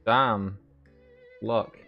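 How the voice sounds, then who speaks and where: Very low-pitched, unhurried woman streamer. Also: fairly even intonation, creaky, lo-fi sound. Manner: wry, quippy. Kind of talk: casual game reaction chat. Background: video game music.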